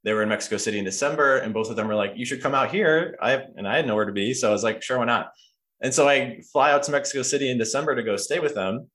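A man's voice: medium pitch, quick pace, a bit bright. A man hosting a podcast in a casual, personal chat, rambling and often interrupting himself as he goes. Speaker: podcast host.

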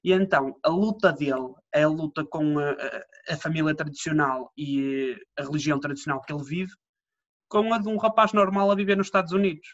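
A young man with a European Portuguese accent, co-hosting a casual podcast chat between friends in a jokey, animated way. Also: fairly high pitch, fairly quick. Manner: jokey, animated, does silly voices. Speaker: podcast co-host. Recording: dull lo-fi sound.

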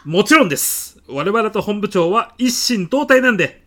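A woman's voice: medium pitch, very animated, moderate pace, a bit bright. A woman playing an obsequious junior sales rep in a comedy radio sketch, deferential and polite, bluffing shamelessly. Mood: earnest bluffing. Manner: deferential, polite business talk, hedging then gushing.

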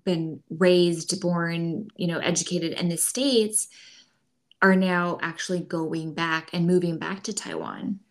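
A woman's voice: on the low side, lively intonation, slow pace. A young woman co-hosting a podcast, chatting in an earnest, agreeable way and backing up her partner often. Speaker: podcast co-host. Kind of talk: casual chat.

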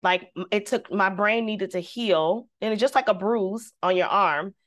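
A woman, a singer, telling a personal story in casual conversation, lively and devout, acting out the voices she quotes. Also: mid-range voice, a little slow. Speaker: guest.

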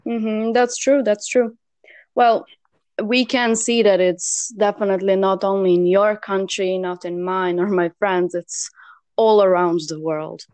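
A woman's voice: medium pitch, steady tone, a little slow, darkish tone, clear, resonant tone, no echo. A young woman from Serbia co-hosts a casual talk. She hesitates often, full of fillers and restarts, in a plain mid-range voice. The recording is dry and close, with no room sound. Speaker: co-host. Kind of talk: casual discussion.

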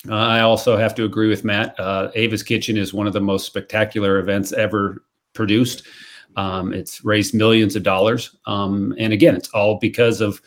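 A man's voice: on the low side, little pitch movement, normal speed. A man speaking as a charity guest on a podcast, earnest and grateful, with frequent fillers. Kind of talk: casual podcast chat.

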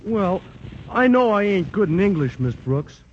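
An actor playing a slow-witted, ungrammatical high school athlete in an old radio comedy. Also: male voice, sing-song, slight background noise. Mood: earnest. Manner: dim, halting, ungrammatical. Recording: dull old radio broadcast.

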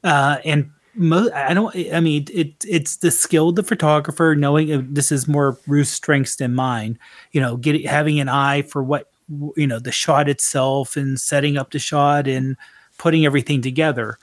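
A man's voice: on the high side, steady tone, normal speed, dry recording, studio quality. A middle-aged man co-hosting a casual podcast chat, rambling a little and correcting himself as he goes. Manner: rambling, self-correcting, chuckles often. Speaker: podcast co-host.

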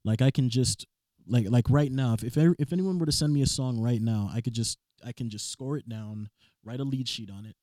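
A man speaks with a rough, rustic rasp and a lilt. His tone is dark and mellow, and the studio room sounds close and dry around him. He rambles in relaxed chat, often restarting his sentences. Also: mid-range voice, a little fast, no echo. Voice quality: rough, raspy voice. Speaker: blues and soul musician, podcast guest. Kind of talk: casual chat.

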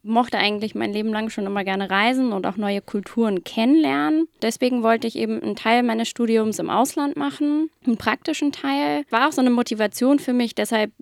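A woman's voice: fairly high pitch, a little fast, gravelly, hardly any pauses, no room sound, clean studio sound. A young woman from Hamburg, a guest on a radio show, answering questions in a friendly, slightly nervous way and laughing often. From Hamburg, Germany. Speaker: guest, psychiatry resident. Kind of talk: bilingual radio interview, casual. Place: radio studio.

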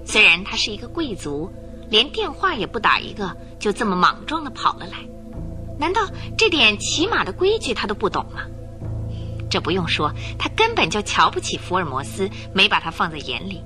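A woman narrating a radio drama with expressive storytelling. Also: mid-range voice, moderate pace. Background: music.

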